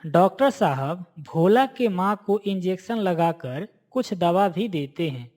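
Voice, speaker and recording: Slow, mid-pitched story narrator. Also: lively intonation, a little mellow. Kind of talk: scripted cartoon moral story narration.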